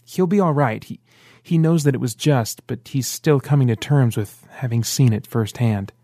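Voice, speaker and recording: A man voicing a calm, patient man who speaks steadily and earnestly. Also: medium pitch, moderate pace, no echo, studio quality, close to an everyday voice.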